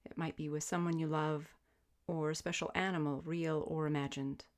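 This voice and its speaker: A woman with a low-pitched voice leads a guided relaxation as a yoga teacher. She gives her instructions gently and soothingly, as measured invitations with space left between them. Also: fairly even intonation. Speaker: yoga nidra meditation teacher. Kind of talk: guided sleep meditation, spoken instruction.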